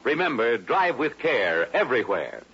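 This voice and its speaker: A man's voice: medium pitch, very animated, normal speed, fairly bright tone, slightly rough. An American man delivering a scripted 1950s radio commercial as spokesman. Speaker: advertising spokesman. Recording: muffled vintage radio recording.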